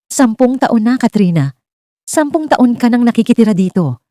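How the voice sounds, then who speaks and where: A woman voicing a sharp-tongued, bitter older mother-in-law in a dramatic story, scolding and mocking. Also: a little high, lively intonation, moderate pace, no room sound, clean studio sound, pitched above the performer's own voice, close to an everyday voice. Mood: mocking, irritated. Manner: nagging, shouting, mocking.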